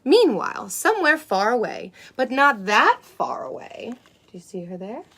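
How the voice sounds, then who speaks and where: A sweet, wide-eyed unicorn, played by a woman, tells a picture-book story to children. Her voice sits fairly high and swoops up and down with exaggerated wonder. She takes her words very slowly, cooing over them. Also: very animated, bright, forceful tone, exaggerated voice. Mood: storytelling wonder. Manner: breathy gasps, cooing wonder, exaggerated emphasis.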